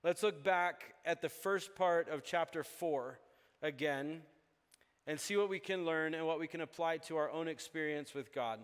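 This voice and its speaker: Pastor guy from uganda preaching, kinda high voice, long echo in the hall, acts out lines. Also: moderate pace, smooth. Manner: animated, acts out dialogue with chuckles. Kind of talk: sermon.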